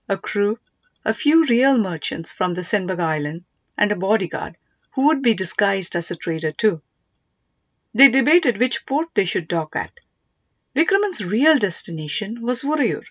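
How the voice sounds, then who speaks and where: Female audiobook narrator, mid-pitched, scripted storytelling, close dry sound, slightly muffled. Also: no room sound.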